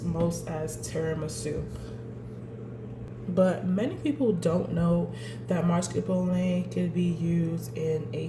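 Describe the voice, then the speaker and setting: A woman with a lowish, congested voice walks viewers through a recipe in a relaxed, talkative way. The sound is roomy and echoey, with clear background noise from the kitchen. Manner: chatty, keeps adding "right?". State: congested from a cold. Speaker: cooking channel host. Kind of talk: casual cooking tutorial. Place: home kitchen.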